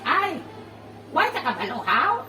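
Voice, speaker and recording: A woman in an amateur audio drama, speaking in an excited, exclaiming way. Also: high voice, takes it slowly, echoey room, distant mic, noisy background.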